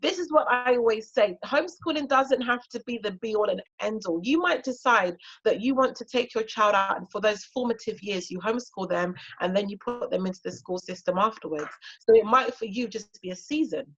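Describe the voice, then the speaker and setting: A woman's voice: medium pitch, brisk, few pauses, fairly bright tone, lo-fi sound. A woman in her thirties, a native Twi speaker from Ghana, talking casually and encouragingly as a homeschooling guest speaker, with frequent fillers. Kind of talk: casual talk on an online session.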